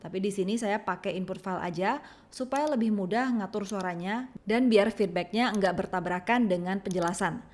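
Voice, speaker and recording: A woman with an Indonesian accent reading a scripted software tutorial, giving clear step-by-step instructions. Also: medium pitch, moderate pace. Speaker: tutorial narrator.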